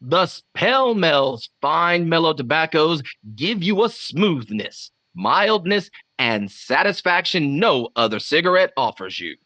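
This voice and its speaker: A bright, fairly high-voiced male radio pitchman played by an actor in 1950s style. He is portentous and deliberate, in a dry recording with a slightly dull tone. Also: sing-song, no echo, heavily acted. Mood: persuasive. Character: smooth, portentous 1950 radio pitchman and announcer. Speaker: announcer. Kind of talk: 1950s radio advertising and announcing.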